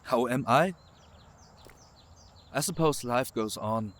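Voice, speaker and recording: A man voicing a weary young man who talks in a flat, resigned way. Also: mid-range voice, slow pace, background noise, lightly acted.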